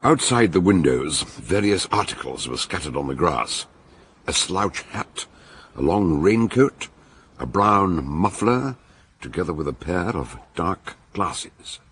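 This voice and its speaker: A grave, ponderous detective in a radio murder mystery. His low voice is rough and very husky, and he tells the case with deliberate, dramatic swings of pitch. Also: big pitch swings, a bit bright, full character voice. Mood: grave narration. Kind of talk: radio mystery drama with first-person narration.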